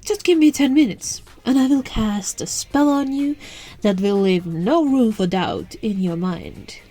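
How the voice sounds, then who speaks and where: A woman's voice: on the high side, unhurried, dark tone. A woman voicing an alluring, playful witch in an ASMR roleplay, teasing and coaxing the listener, recorded on a phone. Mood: challenging, playful. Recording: phone recording with music and night crickets.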